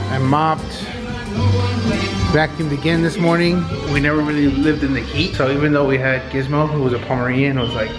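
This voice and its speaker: A man's voice: mid-range voice, poor audio. A man chatting casually about everyday life in a home vlog. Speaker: vlogger.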